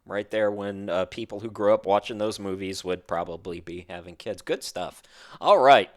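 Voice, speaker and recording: A man's voice: fairly low pitch, normal speed. A man hosting a podcast, chatting casually and wandering from one thought to the next. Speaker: podcast host.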